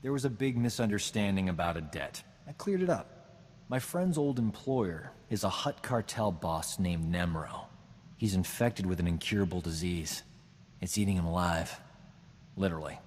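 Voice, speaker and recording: A man's voice: on the low side, big-room sound, a little hiss or hum, natural voice. An actor playing a cocky, womanizing human doctor who boasts and wisecracks in a video game. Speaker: companion character. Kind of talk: video game dialogue acting.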